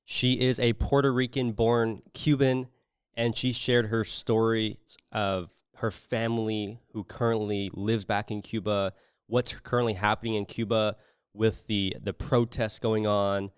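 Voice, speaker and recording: A man hosting a podcast, talking in a relaxed, rambling way with many fillers and restarts. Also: on the low side, unhurried, smooth, no room sound, clean studio sound. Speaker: podcast host. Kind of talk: casual podcast interview.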